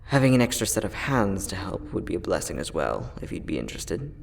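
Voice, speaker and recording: A man playing a friendly, teasing time-traveling inventor, soft-spoken and chatty in an ASMR roleplay audio drama. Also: sits low, big pitch swings, a little fast, creaky, full character voice. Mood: warm, sincere. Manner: soft-spoken, chatty, playful, with frequent chuckles.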